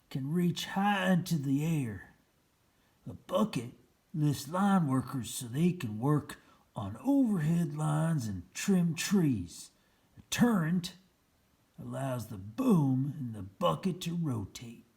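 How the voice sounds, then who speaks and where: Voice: medium pitch, warm tone. A storyteller reading a children's picture book aloud in a theatrical, playful way.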